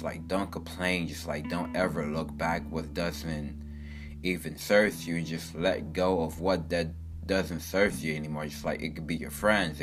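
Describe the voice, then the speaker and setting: A young man talking casually about self-care and motivation, rambling with many fillers in an upbeat, earnest way. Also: very deep voice. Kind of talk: casual self-help monologue. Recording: guitar music playing underneath. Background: guitar music.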